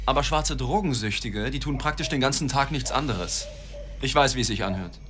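An actor in an audio drama playing an earnest, dogged, world-weary newspaper reporter who is direct but slightly hesitant. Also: male voice, medium pitch, fairly quick, fairly bright tone, a little hiss or hum, lightly acted. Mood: earnest, pressing. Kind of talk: German audio drama acting and narration.

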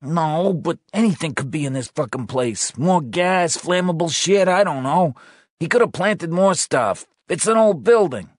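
A man's voice: on the high side, expressive, unhurried, dry recording, clean studio sound, pitched above the performer's own voice. A man voicing a panicked, swearing New police detective trapped under rubble. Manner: frantic, swearing.

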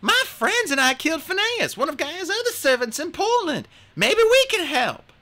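A woman doing a brave teenage girl in a storybook, her voice pitched high and bright with lively swings. She sounds earnest, young and a little breathless. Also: normal speed, exaggerated voice. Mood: urgent.

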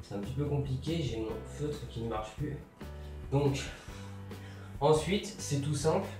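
A young man casually talks through a DIY sewing tutorial while working, relaxed and filling pauses with little interjections. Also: mid-range voice. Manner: relaxed, talks while working, many fillers and "hop, voilà". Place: bare room with grey wall, indoors. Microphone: camera mic from a distance. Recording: roomy echo, distant mic, faint music.

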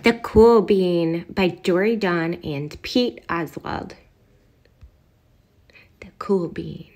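A woman's voice: fairly low pitch, extremely slow, darkish tone. A woman reading a picture book aloud as host, expressively, drawing out key phrases for emphasis. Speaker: storybook reader and video host.

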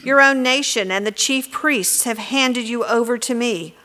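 A woman's voice: a little high, a little slow, pushed and bright, big-room sound. A woman reading the lines of a stern male Roman governor questioning a prisoner during a church Passion reading.

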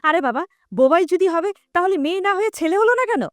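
A woman's voice: extremely high pitch, animated, a little fast, a bit bright, no echo, studio quality. A woman playing a bitter, reproachful mother who scolds and complains in an audio drama. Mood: bitter lamenting. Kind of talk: Bengali audio drama acting.

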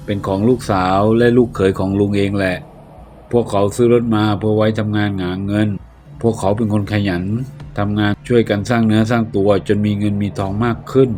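Man doing old grieving villager, slow, heavy, low voice. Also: steady tone, a little mellow, faint music behind, lightly acted. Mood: sorrowful. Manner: slow, heavy, sorrowful telling.

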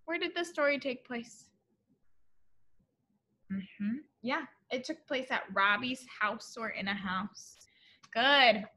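A young woman reads a children's story in a high, bright voice. She takes her time with the phrases, exaggerating them expressively and speaking directly to young listeners like a teacher. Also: slow pace, long pauses. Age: young adult. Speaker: teacher reading a picture book to children. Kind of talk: animated children's story read-aloud. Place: classroom, indoors.

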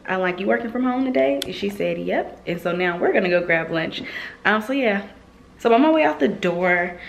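A woman, chatting casually to a handheld camera and sounding slightly nasal from a cold. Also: lowish voice. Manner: chatty, laid-back, laughs often. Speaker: lifestyle vlogger. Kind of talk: casual vlog chat to camera. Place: home, then outdoors at a cinema plaza. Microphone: handheld camera at arm's length.

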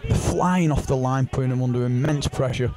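A man giving co-commentary on an amateur rugby league match outdoors in the rain, measured and matey. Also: mid-range voice, noisy background. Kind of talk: rugby league expert analysis. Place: outdoors at a rainy rugby ground. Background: spectators and rain.

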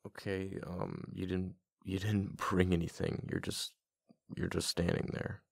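A man playing a playfully exasperated, affectionate husband in a boyfriend ASMR roleplay, with a deep, husky voice and a halting, casual way of talking. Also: little pitch movement, dry recording, studio quality, exaggerated voice. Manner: halting, casual, muttering with repeated words.

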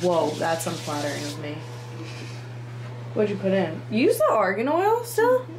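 A woman's voice: medium pitch, sing-song, unhurried. A young woman chatting casually and giggling while filming a vlog with friends in her apartment. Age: young adult. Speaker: vlogger. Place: apartment and parking garage. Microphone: handheld camera. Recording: echoey rooms with loud background noise.

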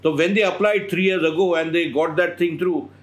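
A man's voice: fairly high pitch, steady tone. Opinionated older Indian man. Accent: Indian English. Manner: measured, digressive, opinionated. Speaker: podcast co-host, editor at large. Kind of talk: casual chat. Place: studio with bookshelves and car photos.

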